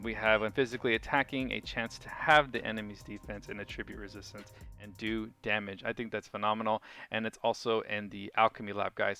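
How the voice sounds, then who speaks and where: A man's voice: fairly low pitch, sharp tone. A man giving a casual game walkthrough commentary, informal and enthusiastic like a hobbyist sharing a setup. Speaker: gaming video creator. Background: music.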